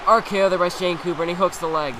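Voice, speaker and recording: Brief: young male let's-play commentator, slightly high voice, eager play-by-play, game noise clearly audible. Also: fast. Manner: excitable, rambling play-by-play with frequent restarts.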